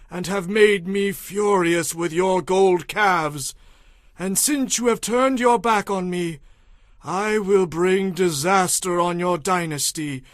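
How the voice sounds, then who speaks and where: A man's voice: high voice, dry recording, clean studio sound. An actor playing a stern old male prophet who solemnly declaims God's furious judgment in an audio Bible drama. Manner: solemn, declamatory, slow and emphatic.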